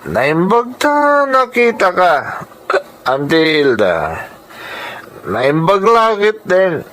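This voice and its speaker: A man with an Ilocano accent playing a tipsy, insistent nephew with slurred, drawn-out pleading in a radio drama. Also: high voice, wildly swooping pitch, fairly bright tone, full character voice. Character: tipsy, insistent nephew selling his land.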